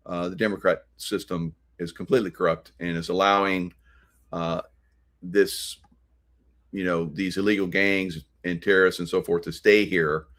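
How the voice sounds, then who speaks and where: An American man hosting an unscripted political commentary, speaking in a halting, rambling way. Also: deep voice, little pitch movement, a bit bright. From United States. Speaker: host of a political commentary show.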